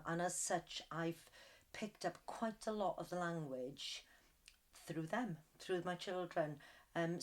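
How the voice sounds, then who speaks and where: A middle-aged woman answering interview questions carefully, with many qualifications and ums. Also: low voice.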